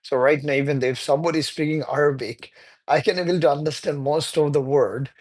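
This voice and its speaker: Chatty man with a higher voice. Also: a little mellow. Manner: chatty, laughs often, non-native phrasing. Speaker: livestreamer. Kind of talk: casual live-stream chat reading viewer comments.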